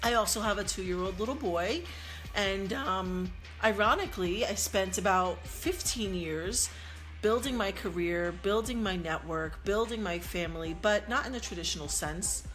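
A woman's voice: mid-range voice, moderate pace, fairly bright tone, faint music behind. A woman in her forties co-hosting a podcast, talking in an earnest, reflective way and echoing her own phrases. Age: 40s. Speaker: podcast co-host. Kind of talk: casual podcast chat.

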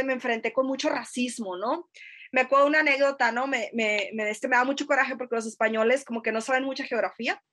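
A woman's voice: on the high side, bright, forceful tone. A woman in her thirties from Sonora with a northern Mexican accent, telling personal stories in a casual podcast chat, hesitant with many fillers and tag questions. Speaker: podcast guest. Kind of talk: casual conversational storytelling.

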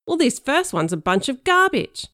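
A woman voicing a gruff, impatient male police officer with a gravelly, brusque, dismissive way of talking. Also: high-pitched, sing-song, moderate pace, bright, forceful tone, no echo, studio quality, pitched above the performer's own voice, heavily acted. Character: gruff impatient male cop, mocked up by a teen girl.

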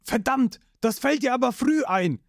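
A man voicing a quick-tempered young male cook with a snappy, exasperated delivery. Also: very high-pitched, expressive, gravelly, no echo, clean studio sound, pitched above the performer's own voice, exaggerated voice.

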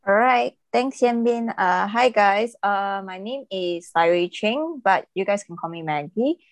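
A woman's voice: mid-range voice, unhurried, dry recording. A woman, a recent graduate chatting casually with fillers. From Malaysia. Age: 20s. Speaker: volunteer guest, recent graduate. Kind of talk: casual podcast discussion.

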